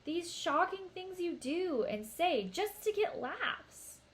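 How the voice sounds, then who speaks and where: A woman voicing a weary, concerned working mother in a storybook, gently scolding with earnest pleading. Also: very high voice, big pitch swings, slow, pitched above the performer's own voice, full character voice, rough recording.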